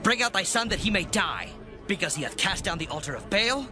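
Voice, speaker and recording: A man's voice: sits high, big pitch swings, a little fast. A man playing an angry, accusing townsman making heated demands in a dramatized scripture recording. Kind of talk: dramatized scripture audio acting. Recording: music and crowd behind.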